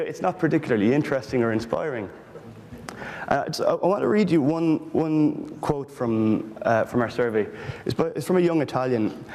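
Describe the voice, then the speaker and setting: A man from Ireland with an Irish accent, speaking earnestly but haltingly on a panel about political research. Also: medium pitch, darkish tone, long reverb tail. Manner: earnest, halting, many restarts. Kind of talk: panel discussion.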